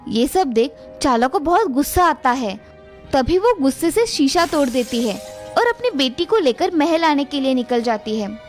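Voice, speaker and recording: A woman narrating a scripted children's fairy tale as storyteller. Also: high-pitched.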